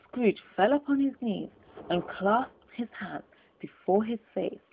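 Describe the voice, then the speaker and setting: A woman's voice: mid-range voice, expressive, slow pace, vocal fry, slight background noise. A woman reading a classic story aloud as an audiobook, in dramatic scripted narration. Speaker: audiobook reader. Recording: thin, phone-like lo-fi audio.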